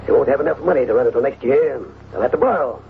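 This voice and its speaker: An actor playing a cunning, domineering cattle baron villain in an old radio western, with a smooth, assertive, calculating manner. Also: male voice, mid-range voice, rapid-fire, lightly acted. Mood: scheming. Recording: tinny, noisy old radio recording.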